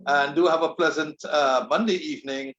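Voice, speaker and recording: A courteous man hosts the show with a formal, polished delivery. His voice is a touch high and sounds roomy and dull over a video call. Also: steady tone, moderate pace, fairly bright tone. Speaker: host. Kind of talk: online show hosting and sponsor reads. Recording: echoey, dull online audio.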